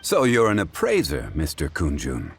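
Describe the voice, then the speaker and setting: A man playing a composed, learned gentleman consultant in a video game, speaking formally and with measured, modest courtesy. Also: extremely low pitch, huge pitch swings, a little hiss or hum, heavily acted. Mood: polite. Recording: game music under the voice.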